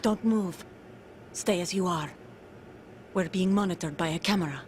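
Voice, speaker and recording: A woman's voice: on the low side, normal speed, darkish tone, a little hiss or hum, lightly acted. A woman playing a grave, guarded undercover soldier in a video game, speaking in a serious, controlled, confiding way. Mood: guarded, urgent. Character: guarded female undercover soldier, grave mother of a stolen child. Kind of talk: dramatic video game cutscene acting.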